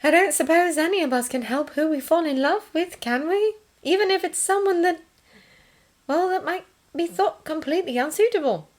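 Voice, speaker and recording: A woman's voice: high-pitched, very animated, normal speed, voice pushed up. A woman voicing a young, well-bred nurse who is naive, curious and forthright, as audiobook dialogue. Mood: sympathetic, confiding. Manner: artless, forthright.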